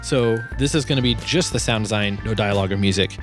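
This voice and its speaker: Low-pitched man, casual explainer. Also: fast talker, over music. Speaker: sound designer presenting own project.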